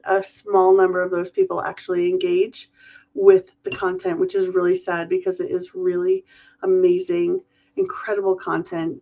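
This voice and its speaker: A woman's voice: medium pitch, dark tone, lo-fi sound. A woman hosting a live question-and-answer stream, nasal and congested from a cold, chatting through viewer questions. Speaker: host.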